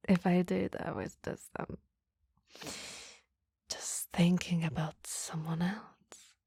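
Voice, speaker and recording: A woman playing a coy, flustered female boss in a flirty ASMR roleplay, speaking in soft, breathy whispers with a teasing, hesitant manner. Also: on the low side, flat delivery, slow, no room sound, heavily acted.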